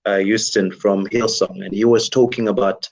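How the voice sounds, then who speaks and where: A man from DR Congo whose first language is French speaks with a fairly low voice. He answers reflectively as an interview guest, often circling back with "you know" and small restarts. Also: fairly even intonation, normal speed, creaky, low-quality recording. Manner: thoughtful, many "you know" fillers and restarts. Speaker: guest musician and worship leader.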